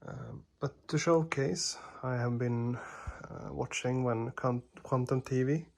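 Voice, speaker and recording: Hesitant man with a gravelly voice. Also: medium pitch, slow, darkish tone. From Sweden. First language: Swedish. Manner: hesitant, many fillers and restarts, apologetic. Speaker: TV reviewer. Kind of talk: casual product demo narration. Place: dark living room at home.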